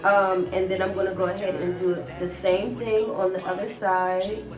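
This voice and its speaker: A woman's voice: medium pitch, flat delivery, moderate pace. A woman with a stuffy nose from a cold, chatting casually to viewers as she walks through a wig install. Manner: chatty, keeps addressing viewers as guys. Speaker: hair vlogger. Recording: roomy sound from a distant mic with music. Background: background music.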